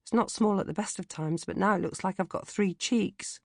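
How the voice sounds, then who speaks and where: A woman's voice: medium pitch, moderate pace, gravelly, no echo, studio quality. A woman voicing a melodramatic, self-pitying fourteen-year-old British girl in a comic audiobook diary, complaining theatrically. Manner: exaggerated, indignant, theatrical complaining. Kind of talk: comic first-person audiobook diary narration.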